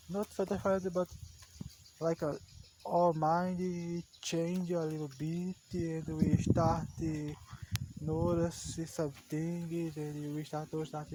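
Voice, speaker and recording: Halting man, unhurried, outdoor take with crickets chirping loudly behind him. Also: fairly high pitch, slight background noise, low-quality recording. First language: Portuguese. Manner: halting, searching for words, frequent "yeah". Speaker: language learner. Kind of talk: unscripted practice monologue.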